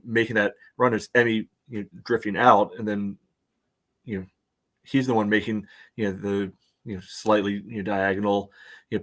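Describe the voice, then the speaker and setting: An American man co-hosting a casual soccer podcast, breaking down play in a halting way full of verbal tics. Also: fairly low pitch. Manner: halting, peppered with "you know". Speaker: podcast co-host and soccer supporter. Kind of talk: casual sports analysis chat.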